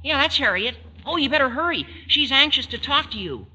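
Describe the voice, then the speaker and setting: Squeaky, high, sharp teenage boy. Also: animated, fairly quick, heavily acted. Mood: eager, sly. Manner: earnest gosh-and-gee fibbing. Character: squeaky, eager, gawky teenage schoolboy. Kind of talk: 1940s radio sitcom acting. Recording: noisy old radio broadcast, car sound.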